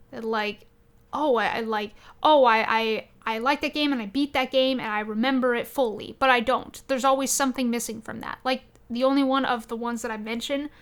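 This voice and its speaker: High-pitched woman chatting casually. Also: a bit bright, smooth. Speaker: podcast co-host. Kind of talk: casual chat about video games.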